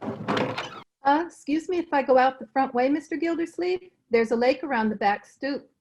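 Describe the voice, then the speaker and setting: A woman's voice: sits high, moderate pace, noisy background. A woman playing a determined, cheerful, folksy housekeeper in an old-time radio comedy, plain-spoken, on dull video-call audio. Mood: resolute. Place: recorded remotely over a video call.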